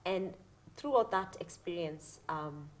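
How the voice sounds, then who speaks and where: A Kenyan woman, a doula and talk show guest, speaking earnestly and a little haltingly. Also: lowish voice, expressive. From Nairobi, Kenya. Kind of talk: interview answers.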